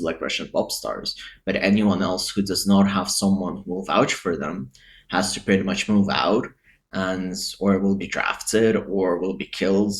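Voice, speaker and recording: A man who grew up in Eastern Europe, giving casual, reflective opinion commentary and thinking aloud. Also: sits low. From Eastern Europe, Lithuania and Ukraine.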